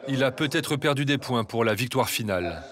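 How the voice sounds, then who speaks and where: A man reading scripted documentary voice-over narration in a measured, even way. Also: mid-range voice, a crowd in the background.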